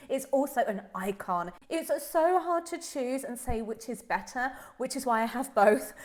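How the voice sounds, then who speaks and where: A woman with a head cold, sounding nasal, chatting enthusiastically through a handbag review. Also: on the high side. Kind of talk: casual product review vlog.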